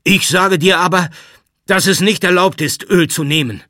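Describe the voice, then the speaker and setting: A man voicing a furious, fanatical man who shouts commands at his cousin in an audiobook. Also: medium pitch, lively intonation, unhurried, slightly rough, dry recording, studio quality, pitched above the performer's own voice. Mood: enraged shouting. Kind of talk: audiobook character acting.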